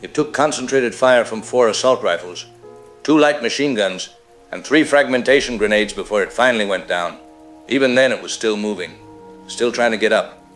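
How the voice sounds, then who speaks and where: A man's voice: on the low side, a bit bright, soft background music, lightly acted. Man acting as a shaken, haunted Marine colonel. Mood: grim, haunted. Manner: grave, halting, haunted. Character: shaken veteran Marine colonel reporting from the field. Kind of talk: apocalyptic radio drama acting.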